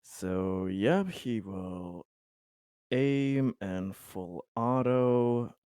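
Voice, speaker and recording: A man's voice: on the low side, lively intonation, very slow, warm tone, smooth, no room sound, clean studio sound. A man playing in a tabletop game, explaining his moves hesitantly, with frequent restarts and laughs. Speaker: player. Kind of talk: tabletop game play over voice chat.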